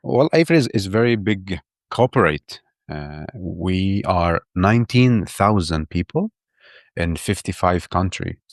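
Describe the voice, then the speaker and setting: A man's voice: low-pitched, lively intonation, unhurried, no echo, studio quality. A man in his thirties from Iraq, a guest on a podcast, talking with enthusiasm and often restarting and repeating his words. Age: 30s. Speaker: podcast guest, urban planner. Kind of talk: casual interview talk.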